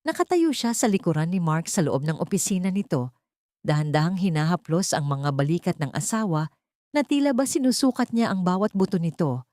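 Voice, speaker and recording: A woman's voice: fairly low pitch, expressive, brisk, a little mellow, no room sound, studio quality. A woman narrating a scripted dramatic story as an audio storyteller.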